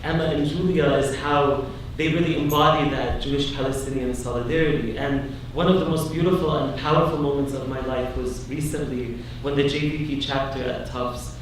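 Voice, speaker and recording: A man's voice: mid-range voice, steady tone, moderate pace, few pauses. A young man giving an earnest, emphatic keynote speech, telling personal stories to an audience. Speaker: keynote speaker, academic. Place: large indoor meeting hall at a conference. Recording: echoey hall, far from mic, noisy.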